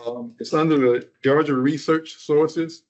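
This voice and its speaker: A man's voice: a little high, steady tone, unhurried. A man from the hosting society chimes in with matter-of-fact pointers, using uh fillers as he goes. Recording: dull, muffled lo-fi sound.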